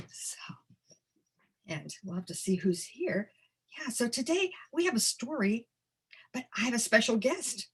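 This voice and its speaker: A woman presenting a storytelling workshop in an animated, theatrical and encouraging conversational manner. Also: on the high side, slightly rough. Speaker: storytelling presenter and early literacy educator. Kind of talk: workshop demonstration with storytime performance.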